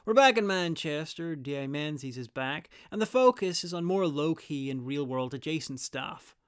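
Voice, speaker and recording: A man narrates a scripted review with wry, opinionated asides. His voice is fairly high, smooth and crisp, in a very dry recording. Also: lively intonation, moderate pace, no echo. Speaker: review host.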